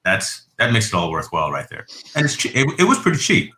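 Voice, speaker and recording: A deep-voiced man hosting a casual tech chat, with a bright, forceful tone. He rambles easily and restarts his sentences. His voice rings in an echoey room. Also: wildly swooping pitch, fast, a little hiss or hum. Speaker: host.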